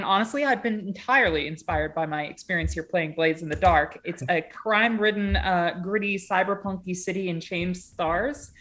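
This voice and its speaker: A woman's voice: medium pitch, bright, forceful tone. A woman game master chatting cheerfully about the campaigns she runs. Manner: upbeat, chatty, promotional. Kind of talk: casual stream chat.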